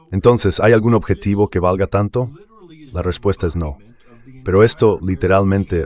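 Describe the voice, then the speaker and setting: A man's voice: low-pitched, a little mellow. A man reading a dubbed political and military commentary in an emphatic, explanatory way, in short declarative sentences. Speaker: translated voice-over of an interview guest.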